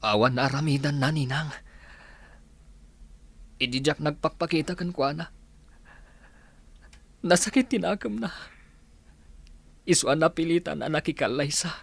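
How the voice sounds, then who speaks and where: A man's voice: a little high, measured pace, vocal fry, pauses often. Man playing an earnest, heartbroken young sweetheart. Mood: hurt, dismayed. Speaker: actor in audio drama. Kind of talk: Ilocano radio comedy-drama acting.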